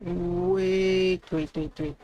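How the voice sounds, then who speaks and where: A man's voice: sits high, level tone, extremely slow, mellow, slight background noise, poor audio. A man giving casual, informal livestream commentary as he reacts to a game. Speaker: game streamer.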